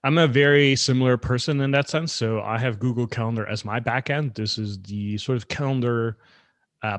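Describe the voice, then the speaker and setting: A man's voice: lowish voice, no room sound. A man from the Netherlands co-hosting a podcast, chatting casually with plenty of fillers. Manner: conversational, loose, with many fillers. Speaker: podcast co-host.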